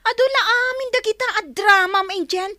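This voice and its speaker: A woman's voice: very high voice, fairly quick, sharp tone, no echo, clean studio sound. An actress with a Filipino accent playing a spiteful, bossy young woman who scolds her cousin in a radio drama. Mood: angry, scornful. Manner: scolding, haughty.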